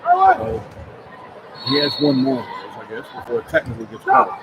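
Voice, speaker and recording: Male sports play-by-play announcer, high and lively delivery, basketball arena with a roaring crowd. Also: moderate pace, gravelly, noisy background. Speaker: radio play-by-play commentator. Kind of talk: live basketball commentary. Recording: loud crowd and music behind commentary. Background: loud arena crowd and music.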